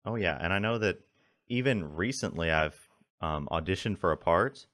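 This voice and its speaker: A man's voice: expressive, moderate pace, fairly bright tone, dry recording, studio quality. A man who works as a voice actor, telling stories in an easygoing way on a podcast. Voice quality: deep voice. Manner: storytelling, easygoing. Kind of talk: casual podcast chat.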